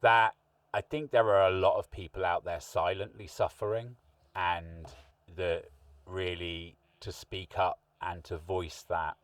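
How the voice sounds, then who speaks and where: A man's voice: sits low, fairly even intonation, a little slow, fairly bright tone, no echo. A middle-aged man hosting a podcast, talking in a thoughtful, musing way with long rambling sentences. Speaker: podcast host. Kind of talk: reflective interview chat. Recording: distant mic, dry sound.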